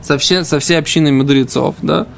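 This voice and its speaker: A man teaching an informal Talmud lesson, reading the text and explaining it, haltingly and with repeated phrases. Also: on the high side, creaky, a little hiss or hum.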